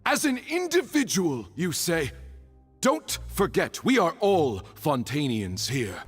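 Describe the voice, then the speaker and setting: A man's voice: on the high side, huge pitch swings, takes it slowly, husky, full character voice. An actor playing a grandiloquent, insistent male accuser who speaks for a crowd with false courtesy in a dramatic video game. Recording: long echoing reverb under music.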